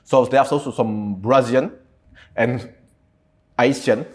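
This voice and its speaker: A man's voice: medium pitch, unhurried, a little mellow. French-accented man in casual interview. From French Guiana. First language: Cantonese and French. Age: 30s. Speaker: podcast guest, entrepreneur.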